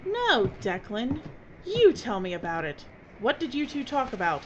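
An actress playing a bossy, impatient, sharp-tongued filmmaker in an audio drama. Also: female voice, fairly high pitch, wildly swooping pitch, a little slow, full character voice. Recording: dull, noisy audio drama recording.

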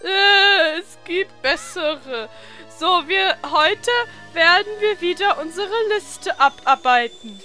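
High, bright-voiced young woman gaming. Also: expressive, slow. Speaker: let's play host. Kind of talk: casual gaming commentary. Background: video game music.

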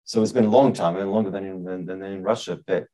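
Fairly low-voiced ukrainian-speaking guy, animated, lots of restarts, lecture room. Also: quick pace. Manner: animated, repeats himself, many restarts. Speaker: ambassador answering questions. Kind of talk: Q&A answer at a public address. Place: university lecture room with audience. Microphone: far camera, standing at lectern.

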